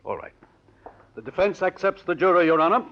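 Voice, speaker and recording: An actor playing a polite, probing defense attorney who questions in a measured, courteous way, in 1940s radio drama style. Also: male voice, sits high, lively intonation. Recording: muffled old radio broadcast recording.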